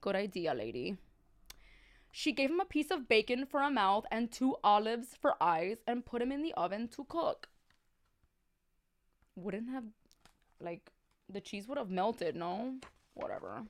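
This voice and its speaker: Woman storyteller, higher-pitched, casual and sarcastic, slipping chatty asides and Spanish slang into a comic read-aloud. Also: sing-song, measured pace, pauses often. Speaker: storyteller on a livestream.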